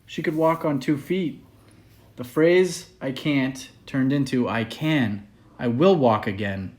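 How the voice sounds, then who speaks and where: A man with a medium-pitched voice reading a children's picture book aloud. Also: slow, darkish tone. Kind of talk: read-aloud children's story.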